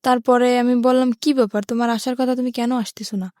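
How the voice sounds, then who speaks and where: A woman's voice: on the high side, flat delivery, quick pace, darkish tone, no echo, clean studio sound. A young woman with a Bangladeshi accent, telling her life story as an interview guest.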